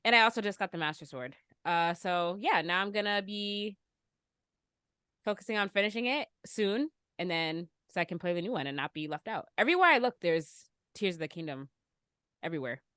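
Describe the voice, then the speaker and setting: A woman's voice: on the low side, expressive, fairly quick, a bit bright, smooth, no echo, clean studio sound. A woman chatting casually as a podcast co-host, with an informal, giggly manner. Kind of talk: casual chat.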